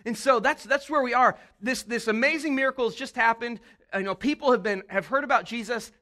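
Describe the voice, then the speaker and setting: A male pastor giving a casual, animated sermon with quick asides. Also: very high voice.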